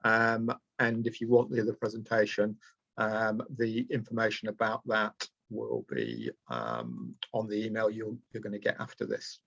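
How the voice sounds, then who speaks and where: A man's voice: fairly low pitch, moderate pace, creaky, a bit bright, dry recording. A middle-aged man with a Lancashire accent presents a live online training session, speaking hesitantly with frequent ums and uhs. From Preston, Lancashire, England. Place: indoors, small room. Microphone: webcam on a video call. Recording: dull lo-fi video call audio.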